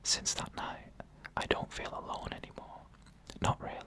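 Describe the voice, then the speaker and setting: An ASMR storyteller narrating an eerie first-person tale entirely in a hushed whisper, with slow, deliberate phrasing and pauses, close to the microphone.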